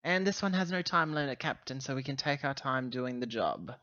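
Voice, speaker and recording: A man playing a roleplaying game, talking casually in character with halting, self-correcting explanations. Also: medium pitch, expressive, pushed and bright, no room sound, dull audio. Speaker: tabletop roleplaying player.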